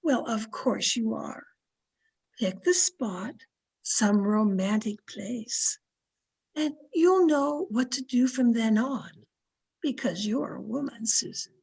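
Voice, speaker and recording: An actress plays a genteel older aunt who loves to meddle in matters of the heart. Her voice is high and husky, and she coaxes and reassures in the manner of an old-time radio comedy-drama. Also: expressive, takes it slowly, darkish tone, heavily acted. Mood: knowing, encouraging. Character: kindly, meddling older aunt playing matchmaker. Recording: video-call radio play recording.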